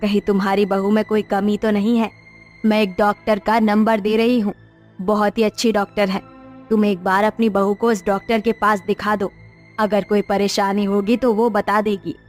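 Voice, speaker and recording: A woman's voice: mid-range voice, level tone, moderate pace, a little mellow, soft background music, close to an everyday voice. A woman voicing a chatty, meddling older neighbour who is a new grandmother, in a cartoon story. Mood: chatty, prying. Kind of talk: Hindi cartoon story dialogue.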